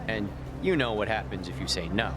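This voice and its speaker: A man's voice: fairly low pitch, animated, fairly quick, noisy background, natural voice. A man playing a slippery Hawaiian taxi driver and small-time crook in a video game, chatty and smug but cowardly, prone to stammering. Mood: smug menace. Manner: chatty, stammering when flustered. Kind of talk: English video game cutscene dub acting. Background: music.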